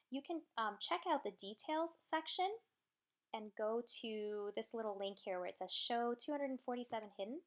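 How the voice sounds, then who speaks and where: Bright-voiced woman giving a casual training walkthrough. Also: on the high side. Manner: casual and instructive, with many ums and uhs. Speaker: customer support trainer. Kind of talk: screen-share training walkthrough.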